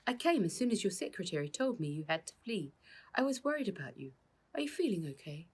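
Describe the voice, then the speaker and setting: Lady doing a worried loving girlfriend in a kids story, earnest, bit husky. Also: mid-range voice, sing-song, fast, a little mellow, pitched above the performer's own voice. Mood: worried, caring. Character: worried, tender, devoted girlfriend of injured man.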